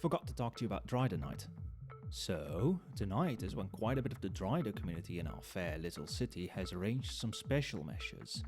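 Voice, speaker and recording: Man playing nightclub barman, male, lowish pitch, animated intonation, chatty, wry, knowing, conversational ASMR roleplay. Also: brisk. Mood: casual, cautioning. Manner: conversational, explanatory, with hesitations and understatement. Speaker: voice actor in an audio roleplay. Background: soft club music and nightclub ambience.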